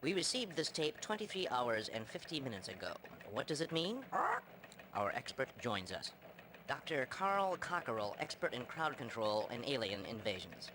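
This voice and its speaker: An excitable chicken anchorman from a video game acted by a man. His mid-pitched voice swings widely in dramatic, formal newsreading. Noise is clearly heard behind him. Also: normal speed, close to an everyday voice. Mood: solemn announcing. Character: excitable male chicken television news anchor. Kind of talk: parody newscast in a video game.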